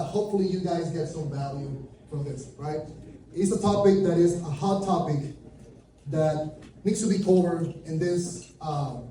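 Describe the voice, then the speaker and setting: A man's voice: on the high side, dark tone, slight background noise. Nervous Venezuelan man on a stage. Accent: Venezuelan Spanish. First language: Spanish. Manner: nervous, chatty, loose. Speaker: conference speaker. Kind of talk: live stage presentation. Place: large room with big windows, before an audience. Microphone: handheld mic, filmed by a far camera. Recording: echoey room from far off.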